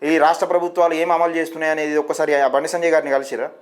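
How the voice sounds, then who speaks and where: A man's voice: fairly high pitch, little pitch movement, very fast. A man with a Telangana Telugu accent interviewing over the phone as a reporter, insistent and often rephrasing his questions.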